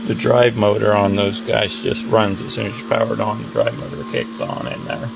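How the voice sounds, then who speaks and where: A man's voice: lowish voice, little pitch movement, normal speed. A man giving a casual, unscripted hands-on equipment demonstration in a plain-spoken, offhand way. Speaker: audio repair hobbyist demonstrating equipment. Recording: noisy recording with clear machine hum. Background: loud cooling fan and motor noise.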